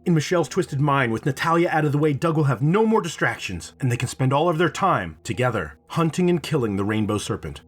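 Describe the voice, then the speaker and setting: A man narrating a scripted video essay in a dramatic, expressive storytelling manner, with casual swearing. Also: a little high, brisk, few pauses. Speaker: video host, reviewer.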